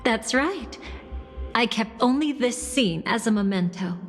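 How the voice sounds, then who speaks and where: A woman playing a seductive, mocking villainess in a video game, a capricious traitor who relishes destruction and speaks in teasing, theatrical, drawn-out phrases. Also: a little high, expressive. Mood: sly, nostalgic. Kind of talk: dramatic video game voice acting. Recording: long reverb, game music behind.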